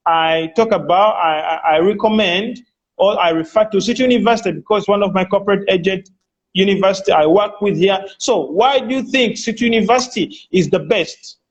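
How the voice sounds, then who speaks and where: A man's voice: sits high, a little fast, lo-fi sound. A man hosting a casual live online chat and interview, talking with many fillers and restarts, and cutting in with eager interjections. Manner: enthusiastic interjections.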